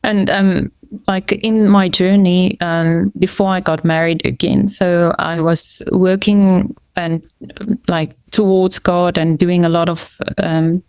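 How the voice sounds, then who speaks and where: A woman's voice: fairly low pitch, flat delivery, slow pace, a little mellow, clean tone, no room sound. A middle-aged woman whose first language is Afrikaans, a podcast guest talking casually and hesitantly, with many fillers and restarts. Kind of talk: casual conversational interview.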